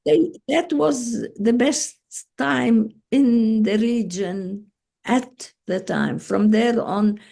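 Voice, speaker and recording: An elderly woman in her eighties with a Palestinian Arabic accent speaks over a video call. Her voice is gravelly, husky and mellow. She tells her story slowly and haltingly, pausing often. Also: on the high side. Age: 80s. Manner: slow, halting, with frequent pauses and restarts. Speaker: guest speaker. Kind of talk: relaxed personal storytelling.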